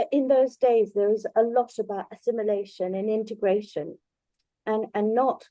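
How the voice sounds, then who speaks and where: A middle-aged or older native Bengali-speaking woman giving a reflective, conversational talk, pausing and feeling her way through her thoughts. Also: mid-range voice, warm tone, no room sound, low-quality recording. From Bangladesh in early childhood, then moved abroad. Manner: hesitant, thoughtful, halting phrasing. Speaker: invited speaker at a book launch.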